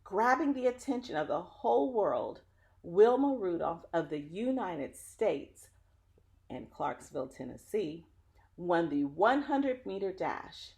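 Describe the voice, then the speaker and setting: A woman's voice: lowish voice, big pitch swings, slow pace, creaky, darkish tone. A woman reading a picture book aloud expressively and dramatically, adding chatty asides straight to her listeners. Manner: dramatic, engaging, addressing listeners directly. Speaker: read-aloud storyteller.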